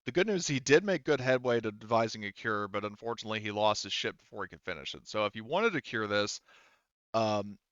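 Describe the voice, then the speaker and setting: A man running a tabletop roleplaying game as game master, explaining the scene in halting, unscripted narration. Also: lowish voice, expressive, a bit bright, smooth, no room sound, highs cut off.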